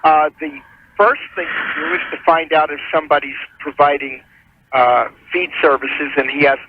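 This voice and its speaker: Higher-pitched man explaining formally over muffled radio. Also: steady tone, moderate pace, a little hiss or hum. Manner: formal, methodical, with legal wording. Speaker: attorney calling in as legal adviser. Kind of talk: explanatory advice on a call-in show. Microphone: telephone. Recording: muffled telephone call on radio broadcast.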